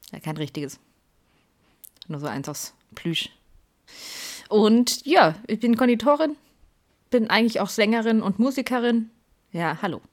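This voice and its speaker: A woman in her thirties from Germany chats through her own podcast episode, rambling and correcting herself as she goes. Now and then she drops to a half-whisper. Beneath the jokes she sounds stressed before an exam. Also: lowish voice. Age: 30s. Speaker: podcast host. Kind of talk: casual chat.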